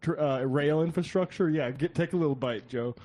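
A man co-hosting a political talk show, chiming in with wry, quick remarks. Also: fairly high pitch, warm tone. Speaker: co-host. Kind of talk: casual political banter.

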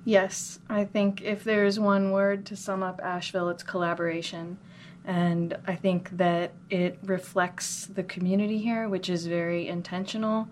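A woman's voice: on the low side, flat delivery, moderate pace, a little mellow. An American woman from Minnesota telling her story as an interview guest in an earnest, reflective, conversational way. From Minnesota, United States. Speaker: interview guest, chocolate business owner. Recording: clear background noise, room ambience.

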